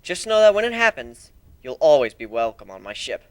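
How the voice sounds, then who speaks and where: A man giving an expressive, theatrical first-person narration as an amateur dramatic story reader. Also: medium pitch, brisk, clean tone, dry recording.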